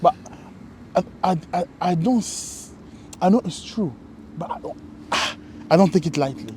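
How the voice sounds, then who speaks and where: A man co-hosting a casual conversation, reflective and halting, often restarting his sentences. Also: high voice, expressive, darkish tone, a little hiss or hum. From Congo. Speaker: co-host.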